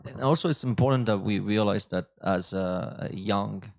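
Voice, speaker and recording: Male panelist, low gravelly voice, hesitant with restarts, conference stage. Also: normal speed. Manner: hesitant, repeats words and restarts.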